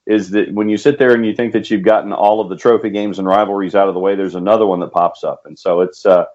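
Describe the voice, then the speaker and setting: A man's voice: lowish voice, darkish tone. An American man co-hosting a sports show, giving wordy, conversational commentary. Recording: dull lo-fi remote audio.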